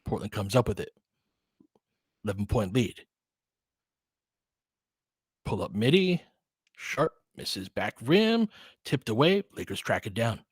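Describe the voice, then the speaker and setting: A man's voice: on the low side, sing-song, takes it slowly, long pauses, breathy edge, no room sound. Guy doing casual hoops play-by-play and chatting w fans, clipped calls, close dry sound. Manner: informal, conversational, clipped phrases on plays. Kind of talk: casual basketball commentary mixed with chat replies.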